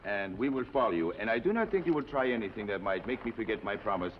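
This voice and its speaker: Male, actor as honor-bound, fatalistic Mexican bandit boss; low voice with expressive swings, formal phrasing, old western TV sound. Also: close to an everyday voice. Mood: courteous menace. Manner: formal, deliberate phrasing with Spanish words. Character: aging Mexican outlaw leader, courtly, honor-bound, fatalistic. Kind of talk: 1950s television western acting. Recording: muffled old TV soundtrack, faint noise.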